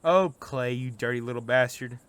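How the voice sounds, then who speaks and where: An actor playing an easygoing, excitable young teammate in an audio drama. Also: male voice, medium pitch, moderate pace, clear, resonant tone, natural voice. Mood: upbeat. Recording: loud music behind the voice.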